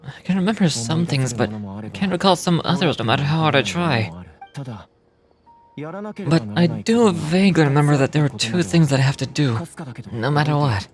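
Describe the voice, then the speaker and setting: Woman voicing a weary low-voiced man with amnesia. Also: expressive, normal speed. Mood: halting, earnest. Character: weary amnesiac man, escaped experiment captive, humble and earnest. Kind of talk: video game dialogue read aloud. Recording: game music behind.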